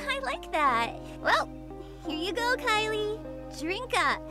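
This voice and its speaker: A woman voicing Cornelia, a gentle, dreamy young girl who talks softly and sometimes whispers, heard as game audio with music behind. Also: very high-pitched, big pitch swings, slow pace, heavily acted. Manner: soft, childlike, sometimes whispering. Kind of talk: voiced game dialogue.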